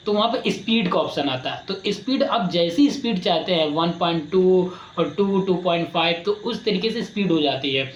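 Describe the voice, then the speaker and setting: A man's voice: sits high, slight background noise. A man giving a casual, rambling explainer lesson for his channel, repeating himself and restarting often. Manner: rambling, repetitive, many restarts. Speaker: YouTube creator teaching voice skills. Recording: roomy, slightly dull with faint ambience.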